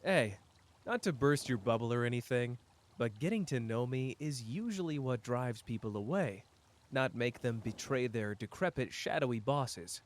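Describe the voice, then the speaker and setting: A man acts out a powerful male sorcerer with a cocky surface and a wounded core. He speaks at a middling male pitch in an intimate audio drama, casual and conversational. His teasing delivery is aimed straight at one listener, with pauses between thoughts. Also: lively intonation, lightly acted. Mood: wry, probing.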